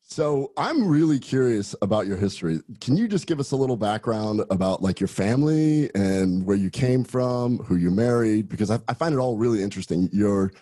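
A man's voice: fairly low pitch, lively intonation, fairly quick, few pauses, mellow, dry recording. A man who hosts a podcast and works as a gastroenterologist, asking questions in a friendly, curious way. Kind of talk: conversational podcast interview.

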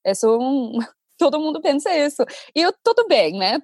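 A fairly high-pitched woman chats away in Portuguese, her being English. She talks in an animated, easygoing way and keeps checking in with little tag questions. The recording is close and dry. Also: moderate pace, no echo. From United States. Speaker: Portuguese teacher and host. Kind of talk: casual conversation.

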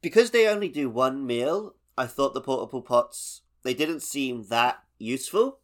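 A man's voice: medium pitch, lively intonation, normal speed. Man, mid-range voice, informal podcast co-host talking video games. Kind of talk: casual video game chat.

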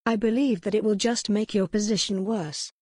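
Defiant starving captive woman, soft and weak, dry close read, from a narrator. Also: medium pitch, fairly even intonation, moderate pace, no echo, natural voice.

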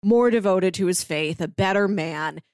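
A woman's voice: medium pitch, normal speed, a little mellow, clear, resonant tone, no room sound, clean studio sound. A woman co-hosting a podcast, talking casually through a book in a conversational way. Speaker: podcast co-host.

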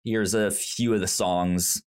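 A man co-hosting a podcast in casual chat, halting and often repeating words as he restarts. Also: sits low, fairly even intonation, darkish tone, clear, resonant tone. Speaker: podcast co-host.

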